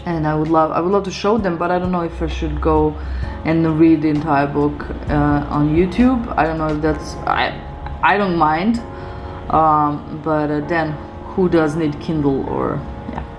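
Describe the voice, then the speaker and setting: A woman in her thirties, a Serbian native speaker, talking reflectively in a casual studio vlog with lots of hesitations and soft laughs. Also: steady tone, measured pace, roomy sound, highs cut off, over music. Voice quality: low voice. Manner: reflective, hesitant, many fillers, laughs softly. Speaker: illustrator vlogger. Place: studio room indoors.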